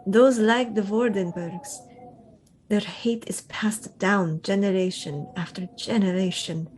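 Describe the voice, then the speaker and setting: A woman playing a sorrowful, haunted vampire with a grave, measured delivery in a radio drama. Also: medium pitch, fairly even intonation, a little mellow, a little hiss or hum, natural voice, low-quality recording.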